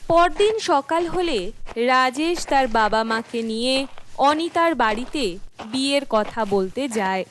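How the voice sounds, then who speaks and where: Slow, high-voiced woman narrating a story. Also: animated, fairly bright tone, a little hiss or hum. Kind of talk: scripted story narration for horror cartoon.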